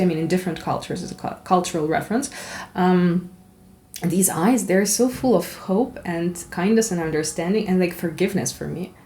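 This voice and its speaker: A woman from Ukraine with a Ukrainian accent, a native Russian speaker, giving a chatty tarot card reading in an animated, giggly, rambling and candid way. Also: fairly low pitch, slight background noise. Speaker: tarot reader.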